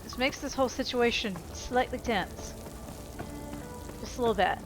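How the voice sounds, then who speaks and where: A woman's voice: fairly high pitch, normal speed. A woman hosting a gaming channel, giving casual, playful let's-play commentary with a wry sense of humor. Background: game music and sounds.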